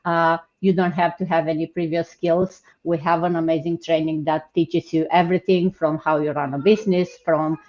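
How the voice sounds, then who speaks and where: Low-voiced woman hosting an online panel. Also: moderate pace, few pauses. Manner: relaxed, chatty, with filler words. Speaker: host of a live online panel. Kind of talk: casual presenting. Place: home, in Ontario. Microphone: video call. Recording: muffled lo-fi call audio.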